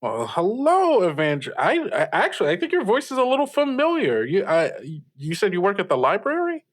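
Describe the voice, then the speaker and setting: A man's voice: sits high, wildly swooping pitch, a little fast, exaggerated voice. A man as a swooping, flustered teenage radio host. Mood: flustered, delighted. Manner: stammering, flustered, polite, nervous chuckles. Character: eager, awkward teenage boy hosting a late-night radio show. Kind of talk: improvised audio drama as radio call-in show.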